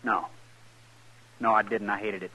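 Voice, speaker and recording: Bright-toned, troubled young drifter. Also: male voice, lowish voice, fairly even intonation, breathy edge, full character voice, low-quality recording. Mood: sombre, yielding. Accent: American, rural western drawl. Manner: halting, plain-spoken. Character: troubled, defensive young drifter of the Old West. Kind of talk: 1950s radio western acting.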